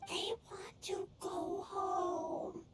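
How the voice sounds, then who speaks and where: A woman voicing a small, simple-minded elemental creature that talks in broken, halting phrases in a video game. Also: extremely high pitch, little pitch movement, heavy background noise, full character voice. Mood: plaintive.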